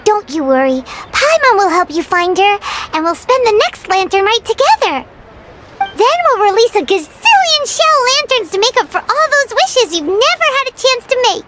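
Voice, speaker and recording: A woman voicing an excitable, food-obsessed tiny fairy girl in a video game, squeaky and childlike, speaking in earnest exclamations. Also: moderate pace, noisy background. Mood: cheerful, reassuring. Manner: speaks of herself in third person, exclamatory. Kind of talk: animated video game acting.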